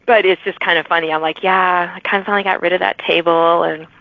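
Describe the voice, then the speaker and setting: A middle-aged woman hosting a podcast interview, chatty and quick to laugh, with plenty of fillers. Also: fairly low pitch, steady tone, gravelly, no room sound. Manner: chatty, laughs often, uses many fillers. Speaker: podcast host. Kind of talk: casual interview chat. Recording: dull lo-fi remote recording, dry.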